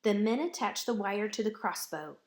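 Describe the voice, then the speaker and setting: A woman reading a children's picture book aloud as a storyteller, with measured, deliberate and dramatic phrasing. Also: medium pitch, expressive, a little mellow.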